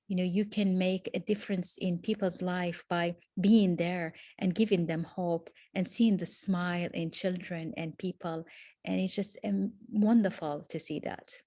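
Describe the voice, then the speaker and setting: A woman in her fifties talks through her story as an interview guest, thoughtful and measured. She often restarts her sentences. Her voice sits fairly low, and the video-call sound is dry with no room echo. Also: fairly even intonation, dark tone. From Morocco. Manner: reflective, measured, with frequent restarts. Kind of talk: interview answers.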